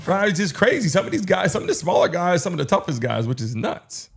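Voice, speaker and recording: A man's voice: high-pitched, sing-song, fast talker, gravelly, soft background music. A man hosting a sports watch-along livestream, talking in a hyped, slangy, repetitive way as he urges the chat on. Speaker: livestream host.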